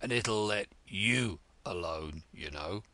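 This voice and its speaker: A man putting on a hoarse old frog's drawl. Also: lowish voice, bright, forceful tone, dry recording, voice pushed down. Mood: muttering. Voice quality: hoarse whisper. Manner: slow drawl, muttering. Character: very old male frog, slow hoarse drawl.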